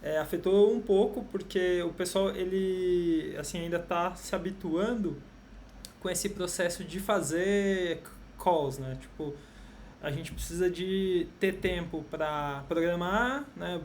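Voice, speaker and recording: A man's voice: high voice, slow, slight background noise. Man hosting a laid-back chat. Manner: relaxed, loose questions with restarts. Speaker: interview host. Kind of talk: casual interview chat.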